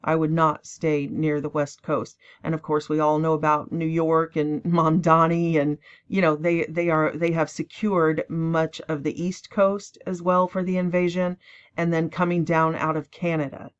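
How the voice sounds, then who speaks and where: A tired woman rambling emphatically as she introduces a clip, breaking into quick mocking asides. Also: deep voice, flat delivery, clear, resonant tone. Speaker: online prophecy channel host. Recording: dull lo-fi recording.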